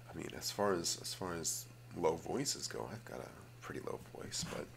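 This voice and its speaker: A man hosting a casual, rambling ASMR video, slipping between vocal fry and whispering and often repeating his phrases. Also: low-pitched, lively intonation, a little slow, slight background noise. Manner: alternates hushed fry and whispering.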